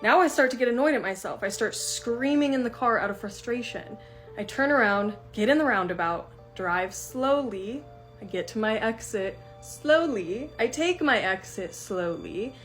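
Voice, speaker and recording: A woman reads a spooky first-person tale out loud, building the tension as she goes. Her pitch sits in a middle register, and a soft music bed plays quietly beneath her voice. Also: animated, moderate pace. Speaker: storytime host reading viewer stories. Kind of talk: reading a first-person scary story aloud, then reacting.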